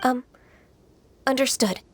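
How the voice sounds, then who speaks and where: A woman playing a gentle, earnest young half-immortal in a video game, speaking in a polite, measured, slightly hesitant way. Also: high voice, a little hiss or hum. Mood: apologetic. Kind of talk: English video game voice acting. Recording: background music under the voice.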